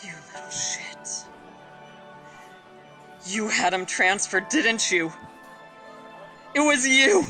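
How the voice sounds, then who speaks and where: A woman's voice: mid-range voice, animated, slow pace, pauses often, pushed and bright, faint music behind. A woman playing an anguished, angry soldier in an audio drama, impassioned, pleading and accusing. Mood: furious accusation. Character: anguished, angry female soldier haunted by betrayal.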